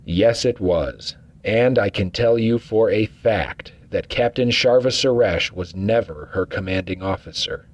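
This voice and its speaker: A steady acting starship captain, played by a man gives orders calmly and with dry humor. His voice sits low and a little husky. The audio sounds dull and lo-fi. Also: unhurried, hardly any pauses. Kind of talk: fan audio drama acting.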